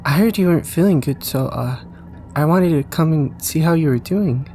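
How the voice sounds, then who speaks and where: A man's voice: a little high, moderate pace, dark tone, a little hiss or hum, pitched above the performer's own voice, close to an everyday voice. A man voicing a small, kind-hearted, shy child in an audio drama, speaking hesitantly and stumbling over words. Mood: worried. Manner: hesitant, stammering and repeating words. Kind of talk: audio drama character dialogue.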